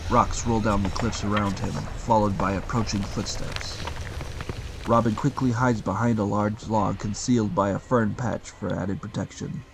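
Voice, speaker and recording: A man's voice: fairly low pitch, steady tone, moderate pace, gravelly, slight background noise, low-quality recording. A man narrating a scripted adventure audio drama as its storyteller. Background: loud music.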